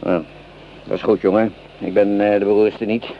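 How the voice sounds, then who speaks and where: An actor playing a cynical, hot-tempered French common soldier in a Dutch radio play, coarse and sarcastic in manner. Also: male voice, on the low side, level tone, moderate pace, close to an everyday voice. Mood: rough, jovial. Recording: dull, noisy old radio play recording.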